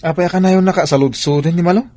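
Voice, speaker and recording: A man's voice: high-pitched, steady tone, racing, warm tone, no echo. Jovial godfather, played by a man, teasing tone, radio drama. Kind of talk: Ilocano radio drama acting.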